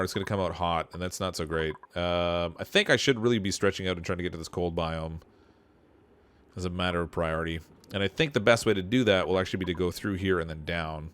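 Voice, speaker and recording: A man streaming a game live, thinking aloud and answering chat, his voice still lower than usual from a cold, with frequent laughs. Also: fairly bright tone, slight background noise. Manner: chatty, laughs often, thinks aloud with restarts. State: voice lower from getting over a cold. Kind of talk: live gameplay commentary.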